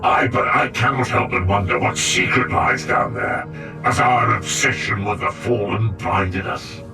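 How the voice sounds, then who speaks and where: Distant, commanding space marine leader. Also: male voice, on the low side, lively intonation, a little slow. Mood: wondering. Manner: solemn, declamatory, commanding. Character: zealous male space marine leader, fierce and doomed. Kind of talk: video game dramatic acting. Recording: distant, over clear background music.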